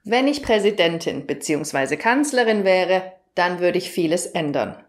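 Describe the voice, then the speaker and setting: Low-voiced woman teaching grammar. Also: animated, moderate pace. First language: German. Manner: clear, explaining, asks the viewer questions. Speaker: German teacher. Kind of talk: grammar lesson.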